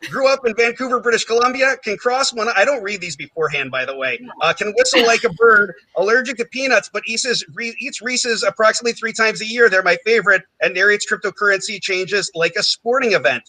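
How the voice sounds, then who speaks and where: A high, bright man's voice runs a comedy game show with jokey, self-mocking patter, tossing off asides and "um"s as he goes. The sound is close and dry, with no room around it. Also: no echo, low-quality recording. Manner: quick, jokey, self-mocking banter with many fillers. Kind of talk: hosting a live comedy game show stream.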